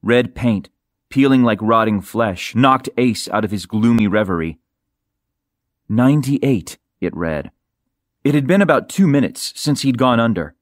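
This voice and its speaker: A man with a mid-pitched voice narrates a science fiction novel. He articulates carefully and tells it in a measured, dramatic way. The recording is close and dry, with no room sound. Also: no room sound. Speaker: audiobook narrator.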